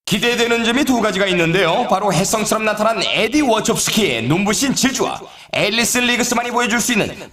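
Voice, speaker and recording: An actor playing an excitable, showy snowboard race announcer in a video game, delivering scripted commentary with dramatic rhetorical flair. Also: male voice, extremely high pitch. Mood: dramatic, eager. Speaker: sports announcer. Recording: roomy echo, distant from mic.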